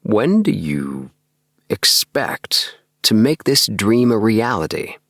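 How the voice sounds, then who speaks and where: A man voicing a calm, sceptical friend in an audiobook, measured and dry in manner. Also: on the low side, dry recording, full character voice. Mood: dry, curious. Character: calm, sceptical male friend listening and questioning. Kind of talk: acted audiobook dialogue.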